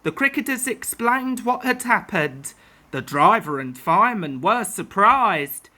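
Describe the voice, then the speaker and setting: A woman storyteller reading a children's tale aloud in an expressive narrating style. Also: mid-range voice, a little slow.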